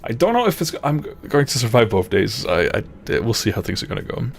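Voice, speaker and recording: A man's voice: mid-range voice, very animated, fast, creaky. A young man with a Dutch-Flemish accent streaming casually from his home gaming room, laughing often and repeating himself as he reads chat aloud. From grew up in Luxembourg, Dutch parents, lives in Belgium. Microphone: studio mic on boom arm, headphones.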